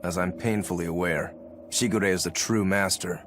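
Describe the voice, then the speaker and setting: A man voicing a determined swordsman demon in a video game, explaining things in a measured way. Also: deep voice, steady tone, fairly bright tone, slight background noise. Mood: earnest, resolute. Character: determined swordsman demon, stoic younger brother to a master. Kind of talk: English video game voice acting. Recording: game music under the voice.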